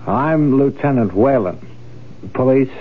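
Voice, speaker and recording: An actor playing a hard, unimpressed, sardonic police lieutenant in a 1970s radio drama. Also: male voice, mid-range voice, animated, slow, narrow, tinny audio. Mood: sardonic, firm. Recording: phone-thin old radio broadcast.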